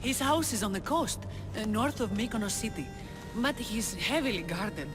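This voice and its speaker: Actress as a commanding, expressive rebel woman. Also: medium pitch, moderate pace, natural voice. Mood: earnest. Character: proud, weary female rebel leader of ancient Greece. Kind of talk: dramatic video game cutscene acting. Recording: reverberant hall, music behind.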